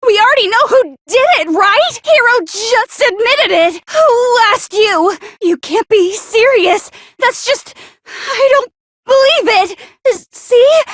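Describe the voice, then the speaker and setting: A woman voicing a paranoid, bitter and insecure teenage girl in an anime video game, stammering, defensive and sharp-tongued. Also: very high voice, lively intonation, takes it slowly, dry recording, studio quality, full character voice. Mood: panicked, furious.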